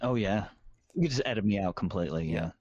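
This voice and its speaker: A man's voice: on the low side, expressive, fast, a little mellow, dry recording, muffled recording, faint music behind. A nerdy-sounding man co-hosting a podcast promo, joking a little nervously in casual banter. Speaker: podcast co-host.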